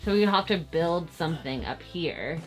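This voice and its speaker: A young woman vlogs from a boat cabin, with a low, easygoing voice. She thinks out loud in rambling, chatty bursts. Music plays clearly under her talk. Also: lively intonation, normal speed. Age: young adult. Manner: chatty, thinks aloud with many likes. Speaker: vlogger. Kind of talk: casual chat. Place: small wooden boat cabin, indoors.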